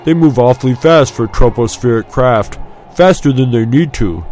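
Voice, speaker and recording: A man's voice: lowish voice, normal speed, dark tone, faint music behind, lightly acted. A man voicing an easygoing, smug young male pilot, knowing and emphatic, talking to a friend in an audiobook. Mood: teasing, warm.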